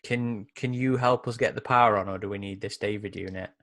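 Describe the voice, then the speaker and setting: A man's voice: on the low side, fairly even intonation, fairly quick, a bit bright. A man playing a tabletop game, bantering casually and weighing in bluntly and decisively.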